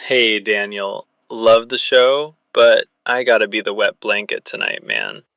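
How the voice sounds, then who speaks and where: Skeptical phone-in caller for radio drama: unhurried, crisp, easygoing, dismissive. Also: male voice, fairly low pitch, animated, bright, forceful tone, dry recording, heavily acted. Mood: amused, skeptical.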